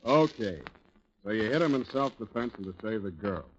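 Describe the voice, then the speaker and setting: An actor playing a cold, sarcastic police detective grilling a suspect, in 1940s radio drama style. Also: male voice, fairly low pitch, animated, normal speed, a little hiss or hum, lightly acted. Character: hard-nosed sarcastic male police detective. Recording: dull old radio recording.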